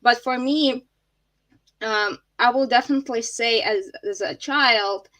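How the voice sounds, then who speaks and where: A woman's voice: a little high, unhurried, a bit bright. A woman from Latvia, for whom English is a third language, speaking earnestly as an interview guest, often laughing and restarting words. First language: not English. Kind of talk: casual interview.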